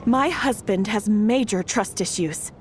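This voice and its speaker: A woman's voice: mid-range voice, a little slow, soft background music, close to an everyday voice. A woman voicing a resigned, wry wealthy wife in a game. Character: weary married wealthy woman.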